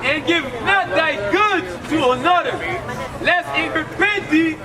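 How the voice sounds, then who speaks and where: Man slowly reading scripture outdoors. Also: extremely high pitch. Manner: slow, deliberate reading. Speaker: scripture reader. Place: outdoors on a city street. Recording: distant mic, loud street crowd and noise.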